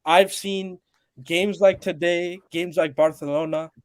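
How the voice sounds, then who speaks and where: A man's voice: high-pitched, steady tone, unhurried. A man from Pakistan with an American accent, calling in to a talk show, earnest and polite. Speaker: caller. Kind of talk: casual call-in chat.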